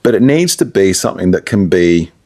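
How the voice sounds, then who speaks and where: A man's voice: sits low, big pitch swings, fairly quick, darkish tone. A man talking casually in an interview as a radio presenter, explaining things through anecdotes and asides.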